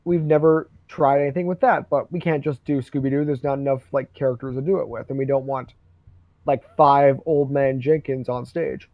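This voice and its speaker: A man's voice: medium pitch, fairly even intonation, moderate pace, no room sound. A young man hosting a live stream, chatting casually, rambling and swearing freely. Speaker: host. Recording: dull dry lo-fi stream.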